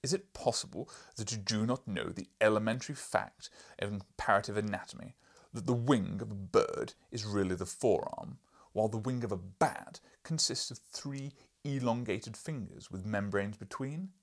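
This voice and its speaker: A man's voice: fairly low pitch, moderate pace, few pauses. A man voicing an imperious, irascible older professor and explorer in an audiobook, lecturing pompously in a declamatory way. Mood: severe, lecturing. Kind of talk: audiobook character reading.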